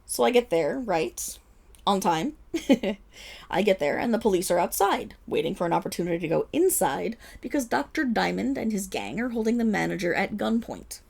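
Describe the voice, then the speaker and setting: A woman acts out a boastful, flustered female superhero at a press conference in an audio roleplay. Her voice is raspy with some fry and swings widely in pitch. She rambles dramatically and defensively, like someone pushed into apologizing. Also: very animated, moderate pace, a little mellow, full character voice. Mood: breezy, boastful. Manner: rambling, defensive, dramatic storytelling with nervous laughs.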